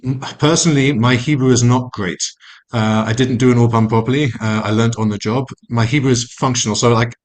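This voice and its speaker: A man's voice: medium pitch, steady tone, fairly quick. A man, a family doctor on a webinar panel, answering frankly and plainly, a little self-deprecating, over a video call.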